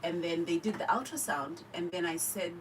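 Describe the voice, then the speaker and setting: A Zimbabwean woman speaks in a lowish voice with her accent clear in every phrase. She tells her own story candidly and with energy, acting out the people she quotes. The studio mic catches her from a little distance, over a faint hiss. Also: normal speed. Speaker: radio guest, cancer survivor. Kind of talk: personal story told in a radio interview. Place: radio studio.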